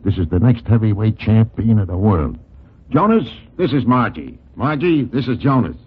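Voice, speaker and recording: Husky, scheming New York fight promoter. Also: male voice, fairly low pitch, expressive, normal speed. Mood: persuasive. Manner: persuasive, slangy, formal wording. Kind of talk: 1940s radio comedy acting. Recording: dull, band-limited 1940s radio recording.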